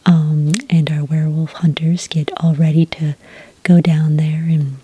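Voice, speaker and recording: A woman playing a calm, polite agent who takes sighting reports in a soft-spoken ASMR roleplay, breathy and whispery, with gentle, patient questioning. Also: sits low, measured pace, a little hiss or hum. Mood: tactful, earnest.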